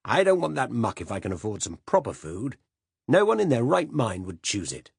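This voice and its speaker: A man's voice: lowish voice, big pitch swings, normal speed, breathy edge, no echo, clean studio sound, voice pushed up. A man reading aloud voices a blunt, domineering older man who growls his opinions at the dinner table. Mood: gruff commanding. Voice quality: growling, commanding.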